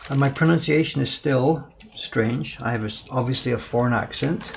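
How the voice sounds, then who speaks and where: Older male craftsman, gravelly mid-pitched voice, chatty live-stream talk, faint hiss behind. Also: normal speed. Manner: chatty, answers viewer questions while printing. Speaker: woodblock printmaker streaming his work. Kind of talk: casual live-stream chat while working.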